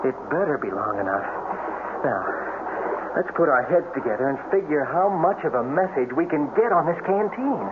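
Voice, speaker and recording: Actor as animated, plucky American man, old radio. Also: on the high side, moderate pace, natural voice. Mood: resourceful. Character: resourceful, plucky bachelor trapped in a cave. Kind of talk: 1970s radio drama acting. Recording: noisy, narrow-band old radio recording.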